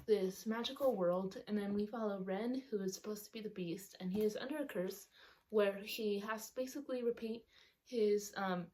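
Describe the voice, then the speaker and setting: A woman's voice: mid-range voice, a little mellow, poor audio. Chatty young woman talking through a retainer. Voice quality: slightly altered by a retainer. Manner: rambling, self-correcting, with many ums. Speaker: book vlogger. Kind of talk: casual chatty vlog. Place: outdoors or by a sunny window at home.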